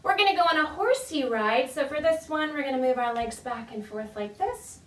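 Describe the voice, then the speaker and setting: A woman's voice: high-pitched, expressive, normal speed. A woman children's storytime leader giving friendly, encouraging instructions to parents and babies in a sing-song, demonstrative way. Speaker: children's librarian leading a baby storytime. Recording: roomy echo, distant mic.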